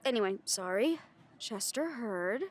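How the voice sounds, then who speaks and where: A woman's voice: a little high, expressive, a little slow. A woman playing a sceptical, protective werewolf packmate in an audio roleplay, with a pointed, questioning delivery. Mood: curious.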